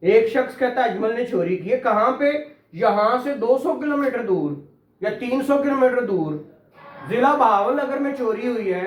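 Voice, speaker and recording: A man's voice: very high-pitched, moderate pace, slight background noise. A man with a Pakistani Punjabi accent lecturing on law to a class, repeating key phrases and prompting students with questions. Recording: echoey, reverberant room.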